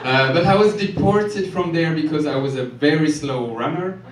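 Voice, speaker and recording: A man from Ethiopia performing a stand-up set in a comedy club, delivering jokes deadpan and chuckling at his own lines. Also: on the high side, normal speed. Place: comedy club stage with live audience. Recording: echoey club room, from far off, loud noise.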